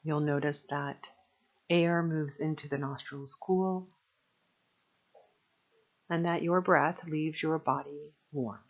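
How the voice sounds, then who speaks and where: A woman guiding a meditation as a teacher, speaking gently and soothingly in measured phrases with long pauses. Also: low voice, fairly even intonation, muffled recording. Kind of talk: guided meditation narration.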